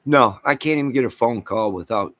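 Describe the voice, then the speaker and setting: A man's voice: mid-range voice, brisk, a bit bright, no room sound. An American man giving a rambling, off-the-cuff rant as an online channel host, speaking in an exasperated, repetitive and emphatic manner.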